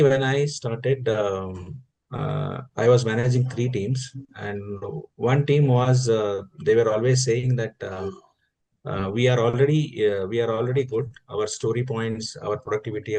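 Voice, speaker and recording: A man asking a question in a webinar over a video call, hesitant and full of pauses and fillers. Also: lowish voice, warm tone, poor audio.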